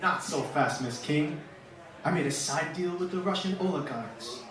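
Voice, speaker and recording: A man's voice: fairly high pitch, normal speed, crowd noise, lightly acted. A man playing a scheming, menacing villain mastermind in an old-time radio serial parody. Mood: gloating. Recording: very echoey, distant, music underneath.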